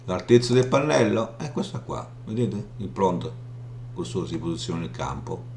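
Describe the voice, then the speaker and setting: Low-voiced man presenting in a roomy echo. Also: lively intonation, creaky, a little mellow. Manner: halting, explanatory, frequently checking with "ok?". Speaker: software tutorial presenter. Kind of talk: informal screen demo walkthrough. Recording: roomy echo, audible background hum noise.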